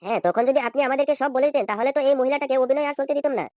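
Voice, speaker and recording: A man's voice: extremely high pitch, very fast, darkish tone, no echo, clean studio sound. A man voicing an exasperated, insistent husband in a comedy cartoon. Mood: questioning. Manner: insistent, questioning, reproachful. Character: exasperated, nagging cartoon husband questioning his wife.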